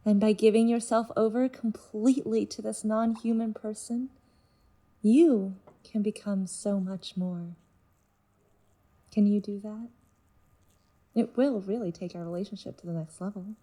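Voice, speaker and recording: A woman's voice: medium pitch, steady tone, a little slow, long pauses, dark tone, close to an everyday voice. A woman playing a manipulative alien creature in a human body, coaxing and controlling, in an audio drama. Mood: tender, seductive. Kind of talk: fiction podcast audio drama acting.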